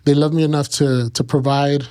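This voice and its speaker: Halting American man, clear, even voice. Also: on the high side. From Kansas and Mexico. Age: 30s. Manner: halting, frequent "you know" pauses. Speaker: interview guest. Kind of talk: casual personal storytelling.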